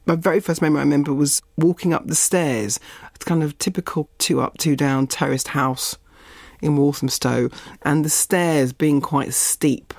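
A woman's voice: sits low, fairly even intonation, normal speed, a little mellow, dry recording, clean studio sound. A middle-aged woman, from Barbados and raised in East London, speaking as an interview guest and telling reflective stories about her childhood. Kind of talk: reflective conversational storytelling.

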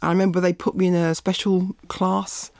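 A woman's voice: on the low side, level tone, normal speed, gravelly, a little mellow, no room sound, clean studio sound. A middle-aged woman, from Barbados and raised in East London, speaking as an interview guest and telling reflective stories about her childhood. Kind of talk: reflective conversational storytelling.

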